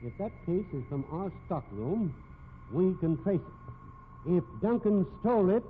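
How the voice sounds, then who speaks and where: An actor playing an indignant, excitable office boss in a 1950s radio mystery, with emphatic, proper diction. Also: male voice, high-pitched, a little slow. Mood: eager. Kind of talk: 1950s radio drama acting. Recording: muffled, noisy old radio recording.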